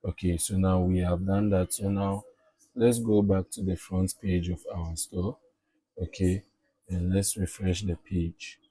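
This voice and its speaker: Deep, dark-voiced man. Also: level tone, a little slow, husky, dry recording. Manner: repeats himself, frequent "okay" and "all right" check-ins. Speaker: tutorial presenter. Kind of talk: casual step-by-step software tutorial narration.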